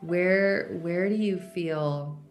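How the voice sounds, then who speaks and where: A woman leading a guided meditation in a gentle, unhurried way, leaving long pauses between instructions. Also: fairly low pitch. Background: background music.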